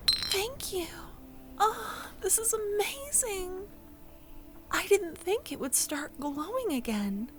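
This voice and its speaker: A woman playing a tender, wistful young childhood friend in a soft-spoken, breathy ASMR audio roleplay, often close to a whisper. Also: extremely high pitch, exaggerated voice. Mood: awed, excited. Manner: slow, tender, hesitant.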